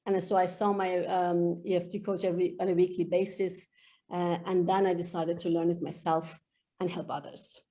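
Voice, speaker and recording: A woman whose first language is Farsi, answering as a guest on a video-call interview about her tapping practice, her pitch often lifting as she tells a story. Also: little pitch movement, normal speed, warm tone.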